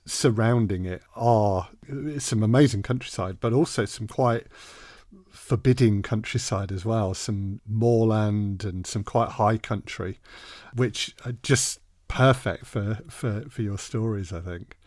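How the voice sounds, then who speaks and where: A man's voice: lowish voice, a little slow, darkish tone, no echo, studio quality. A man from Leicester with an English accent, hosting a casual podcast interview in a musing, conversational way. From Leicester, England. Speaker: podcast host.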